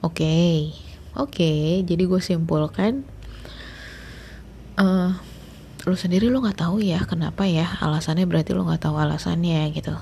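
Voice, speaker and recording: A woman's voice: lowish voice, unhurried, creaky, pauses often, mellow, slight background noise. A woman, telling a story in a rambling, chatty way full of fillers. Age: late 20s. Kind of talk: casual storytelling monologue.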